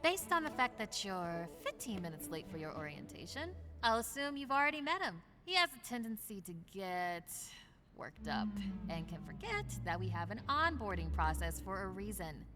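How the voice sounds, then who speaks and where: Brisk, lively operations manager played by a woman. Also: medium pitch, close to an everyday voice. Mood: wry. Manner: businesslike, wry. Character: brisk, dry female operations manager of ghost hunters. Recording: long reverb, music behind.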